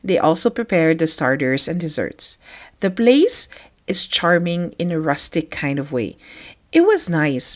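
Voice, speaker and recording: A middle-aged woman from the Philippines, hosting a podcast, telling a personal story in a chatty, reflective way with light laughs. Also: deep voice. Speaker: podcast host.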